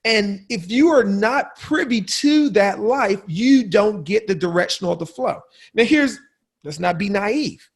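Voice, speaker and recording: A man on a panel discussion, talking emphatically in a husky, gravelly voice and laughing at his own wordplay. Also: sits high, animated, a little slow. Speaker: guest panelist.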